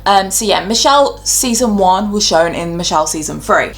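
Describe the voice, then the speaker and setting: A woman's voice: medium pitch, lively intonation, normal speed, faint music behind, low-quality recording. A woman hosting a YouTube video, giving casual, opinionated fan commentary in an informal, chatty way. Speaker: YouTube host.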